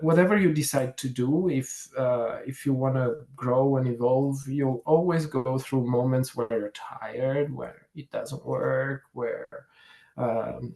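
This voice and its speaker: A man with an Italian accent speaks in a mid-range voice at a slow pace. He is reflective and conversational, as if answering in an interview. He often pauses mid-sentence to search for the next phrase. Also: mid-range voice, vocal fry. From Italy. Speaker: career fair interviewee, program manager.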